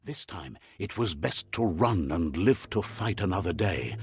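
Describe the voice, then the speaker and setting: A man narrating a game's story calmly, like a storybook, and relaying what a creature says. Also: deep voice, unhurried, slightly rough, no room sound, clean studio sound, full character voice. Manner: calm storyteller, translating a creature's speech. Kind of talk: scripted storybook narration for a game. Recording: game audio with faint music behind.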